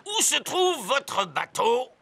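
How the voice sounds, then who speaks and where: A man voicing a jolly, teasing old grandpa dog sailor in a children's cartoon, gravelly and hearty. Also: very high-pitched, very animated, slow pace, pushed and bright, full character voice. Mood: friendly. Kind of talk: animated children's cartoon dub.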